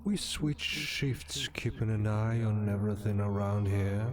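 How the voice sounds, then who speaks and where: Man playing a slow, solemn sun god. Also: on the low side, over music, natural voice. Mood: solemn, welcoming. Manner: slow, portentous storytelling. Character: solemn male sun deity, omniscient watcher and narrator. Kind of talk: scripted game narration.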